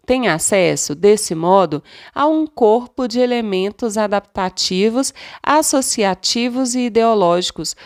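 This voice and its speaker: A woman with a Brazilian Portuguese accent reading a nonfiction audiobook as scripted narration. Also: fairly high pitch.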